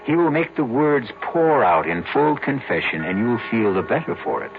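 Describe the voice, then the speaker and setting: A man's voice: medium pitch, very animated, moderate pace, noisy background. A man hosting a radio mystery show with theatrical, scripted narration, dramatic and sardonic in delivery. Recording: tinny band-limited old radio broadcast, music behind.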